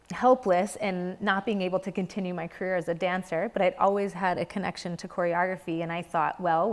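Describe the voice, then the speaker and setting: A young adult woman, a dance company director, speaking earnestly in an interview and searching for her words. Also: lowish voice. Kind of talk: reflective interview talk. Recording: reverberant hall.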